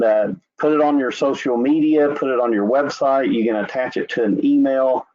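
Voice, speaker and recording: A man from Oklahoma with an Oklahoma accent, walking viewers through a website during a webinar in a loose, conversational way with frequent "uh" and "anyway.". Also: mid-range voice. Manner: relaxed, conversational, with filler "uh" and "anyway". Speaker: insurance company representative. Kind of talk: screen-share webinar walkthrough. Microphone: video call. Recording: dull lo-fi web call audio.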